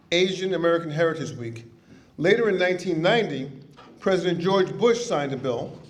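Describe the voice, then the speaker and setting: A man speaking as mayor at a civic ceremony, delivering measured prepared remarks after a self-deprecating joke. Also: a little high. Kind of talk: formal ceremony speech.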